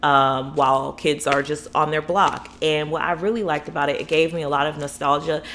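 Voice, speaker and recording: A woman's voice: deep voice, flat delivery, normal speed, fairly bright tone, slight background noise. A young adult woman from Florida reviewing books in a casual, rambling, enthusiastic vlog, full of fillers and asides. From Florida, USA. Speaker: book vlogger.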